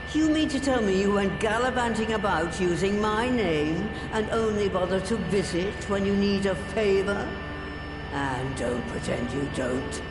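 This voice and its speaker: A woman's voice: mid-range voice, lively intonation, measured pace, close to an everyday voice. A woman voicing a crotchety, brusque old sorceress in a video game. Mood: scolding. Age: older. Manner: brusque, impatient, sardonic. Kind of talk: video game cutscene acting. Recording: roomy echo, music behind.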